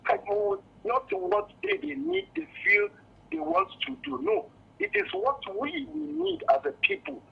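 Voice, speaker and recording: A muffled phone-in caller, a man with a Nigerian accent and a high, gravelly voice. He speaks off the cuff, insistent and halting, circling back over his own words. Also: expressive. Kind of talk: spontaneous opinion on a call-in show. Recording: muffled, lo-fi phone call to radio.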